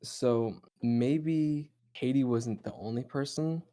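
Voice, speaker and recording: A man co-hosting a casual horror chat show, with a dark, relaxed voice at an everyday pitch. He theorizes in a rambling, loose way and swears freely. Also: slow. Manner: rambling, profane, speculative. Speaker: host of a horror discussion show. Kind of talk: casual chatty analysis of horror lore.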